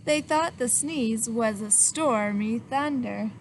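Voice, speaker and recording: Woman storybook reader, high and unhurried, lively with little listeners, noticeable background hiss. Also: poor audio. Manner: animated, asks the listener questions, acts out sneezes. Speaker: children's book reader. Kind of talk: reading a picture book aloud to children.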